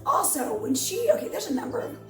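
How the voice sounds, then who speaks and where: A raspy, hoarse woman in her fifties giving blunt, rambling opinions at home as a vlogger, with many ums. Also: high voice, very animated, a little fast. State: hoarse from allergies. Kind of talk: opinionated casual commentary. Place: home during quarantine. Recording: echoey room with music behind.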